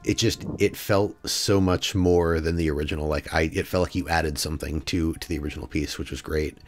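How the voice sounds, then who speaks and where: A man's voice: a bass voice, steady tone, a little fast. A man, chatting casually as a podcast host. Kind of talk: casual chat. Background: music.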